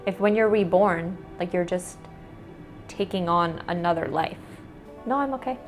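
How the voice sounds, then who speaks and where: A woman's voice: lowish voice, measured pace, soft background music. A young American woman answering interview questions in a casual, chatty way, with lots of "like" fillers. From United States. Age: young adult.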